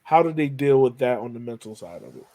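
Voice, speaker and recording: Guy hosting a podcast, medium pitch, dry sound, kinda circles around his questions casually. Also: lively intonation, fast, creaky, dark tone, no room sound. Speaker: podcast host, interviewer.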